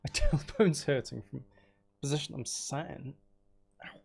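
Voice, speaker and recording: A man's voice: a little high, expressive, moderate pace, darkish tone. A man with a British accent chatting casually and informally as he thinks aloud during a gaming livestream. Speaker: streamer. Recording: clear background noise with clicking.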